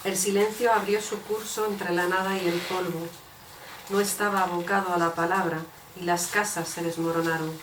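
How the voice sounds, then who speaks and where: A woman's voice: fairly low pitch, steady tone, normal speed. A woman reading translated poems aloud at a bookshop event. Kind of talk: poetry recitation. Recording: echoey room, distant noisy mic.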